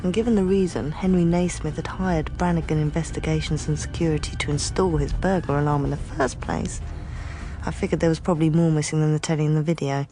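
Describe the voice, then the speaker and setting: Actress as a wry, low-voiced English female detective. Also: fairly even intonation, quick pace, dark tone, natural voice, poor audio. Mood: dry, businesslike. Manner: dry, sardonic. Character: wry, tough, sharp-tongued female private investigator. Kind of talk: radio drama acting with first-person narration.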